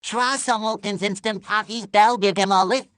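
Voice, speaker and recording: A performer voicing a pushy, cheerfully menacing cartoon character who pitches like a salesman in short comedy ad skits. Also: steady tone, normal speed, fairly bright tone, dry recording, natural voice. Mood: eager.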